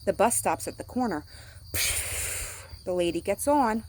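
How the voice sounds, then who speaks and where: A woman's voice: medium pitch, lively intonation, a little slow, darkish tone, a little hiss or hum. A woman reading a picture book aloud to children, speaking simply like a teacher to young listeners. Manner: acts out sound words.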